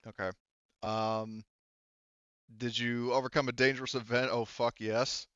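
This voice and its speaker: A man's voice: mid-range voice, little pitch movement, a little slow, a bit bright, clear, resonant tone, dry recording, muffled recording. A man acting as game master in a casual tabletop game debrief, talking loosely and swearing freely.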